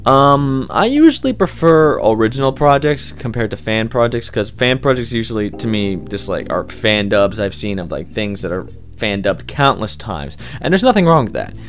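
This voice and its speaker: A man's voice: lowish voice, sing-song, moderate pace, hardly any pauses, faint music behind. A young man, a hobby voice actor, answering interview questions in a loose, rambling way, full of fillers and restarts.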